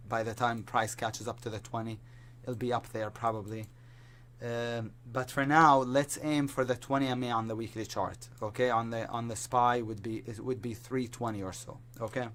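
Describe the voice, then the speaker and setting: A man giving market commentary whose voice is strained from teaching, talking in a halting way with many restarts. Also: medium pitch, a bit bright. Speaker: market analyst.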